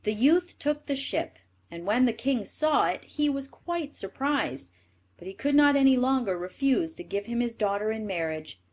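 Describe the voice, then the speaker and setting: A woman reading a scripted fairy tale as a storyteller, in an expressive manner. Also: on the high side, normal speed.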